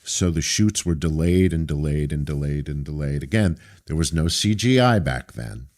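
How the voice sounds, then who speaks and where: A man from Brooklyn, a theater director being interviewed, with a deep voice and a thoughtful, storytelling manner over a video call. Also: lively intonation, normal speed, a little mellow. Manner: thoughtful, anecdotal, hesitant starts. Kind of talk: casual interview.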